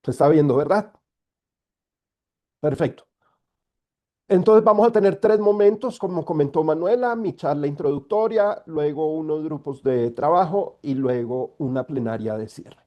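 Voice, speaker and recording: A middle-aged man, an environmental lawyer giving an online talk while congested with a cold, speaking in a measured, explanatory way over a video call. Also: a little high, highs cut off.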